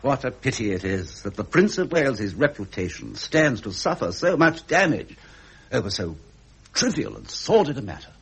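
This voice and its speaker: An actor playing a tactful, anxious Prime Minister in a period drama, measured and deferential, with an upper-class English accent. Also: male voice, medium pitch, big pitch swings, breathy edge, heavily acted. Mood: regretful, diplomatic. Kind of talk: period British broadcast drama acting.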